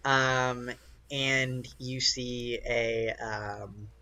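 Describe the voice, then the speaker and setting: A man's voice: mid-range voice, fairly even intonation, extremely slow, sharp tone. A man narrating a tabletop game as game master, improvising casually and searching for his words.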